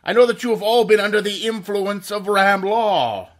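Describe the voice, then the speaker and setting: In an old-time radio play, a man voices an unseen, mysterious avenger who addresses the room in a slow, theatrical, mocking intonation. He speaks only as a disembodied voice, grand and ominous. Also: high voice, lightly acted. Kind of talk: 1930s radio drama reenactment.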